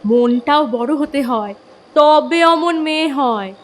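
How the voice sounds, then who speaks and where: A man voicing a gentle, affectionate middle-aged mother in an audio story. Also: extremely high pitch, takes it slowly, a little hiss or hum, pitched above the performer's own voice, heavily acted. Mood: tender. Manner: soft, affectionate, advising.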